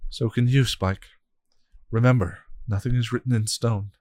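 A man's voice: mid-range voice, fairly even intonation, moderate pace, a little mellow, no echo, pitched above the performer's own voice, natural voice. A man voicing a young woman witch who is timid at first but grows brave. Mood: determined.

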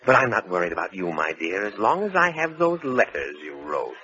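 An actor playing a cold-blooded racketeer and blackmailer in an old radio crime drama, with a menacing, mocking, wordy manner. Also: male voice, lowish voice, very animated, brisk, bright, forceful tone, heavily acted. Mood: cold, threatening. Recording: muffled vintage radio recording.

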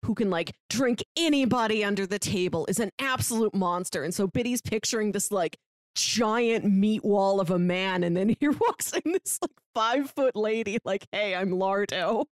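A woman's voice: medium pitch, normal speed, dry recording, clean studio sound. A woman telling a story informally in a casual podcast chat, often dropping in "like". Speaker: podcast speaker discussing books.

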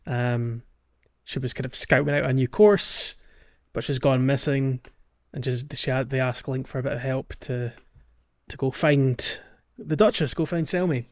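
Male podcast co-host, slightly dull audio, casual walkthrough chat, hesitant explanations, mid-pitched voice. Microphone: podcast voice recording.

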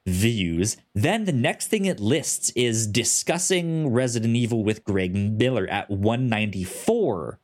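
A man with a stutter hosting a casual podcast, halting with many ums and restarts. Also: lowish voice, animated, darkish tone. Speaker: podcast host.

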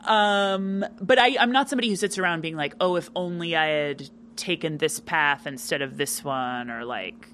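A woman chatting casually as a podcast guest, with a loud, animated delivery full of fillers. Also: deep voice, normal speed, hardly any pauses, slight background noise. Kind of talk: casual interview chat.

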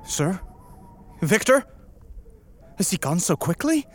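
A resolute ship captain in a radio drama, played by a man, dutiful and wary. The voice is on the high side and swings widely in pitch as it gives commands, over noisy background ambience. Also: very animated, takes it slowly, full character voice. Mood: concerned. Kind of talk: radio play acting.

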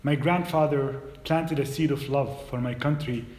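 A man's voice: mid-range voice, moderate pace. Young man from Palestine telling his story. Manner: hesitant at first with fillers, then steady storytelling. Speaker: guest speaker. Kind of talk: prepared personal talk. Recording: echoey hall recording with faint hum.

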